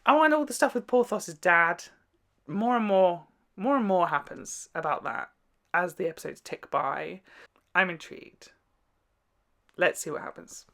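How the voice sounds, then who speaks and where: A man's voice: high voice, unhurried, vocal fry, sharp tone. A man giving casual reaction commentary as a host, with gossipy, exclamatory asides.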